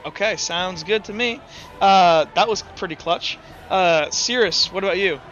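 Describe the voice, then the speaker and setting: A man's voice: sits high, unhurried, a bit bright, dull audio, soft background music. A man running a tabletop game as game master, rambling with frequent restarts.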